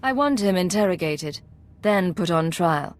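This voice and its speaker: An actress playing a cool, stern secret agent in a video game, firm and authoritative. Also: female voice, lowish voice, lively intonation, measured pace.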